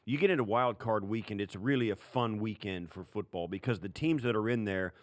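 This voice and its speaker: Lower-voiced man talking football, dry sound. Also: fairly quick, no room sound. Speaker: sports podcast co-host, analyst. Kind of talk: conversational football commentary.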